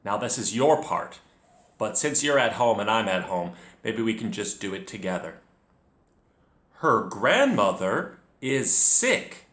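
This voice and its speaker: A man with a bright, animated voice guides young readers through a story. He talks to the learner directly and narrates clearly at an unhurried pace, in a room with noticeable echo. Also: on the low side. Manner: expressive, addresses the learner directly. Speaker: children's reading teacher, storyteller. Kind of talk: encouraging read-along lesson with calm, clear story narration. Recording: echoey room.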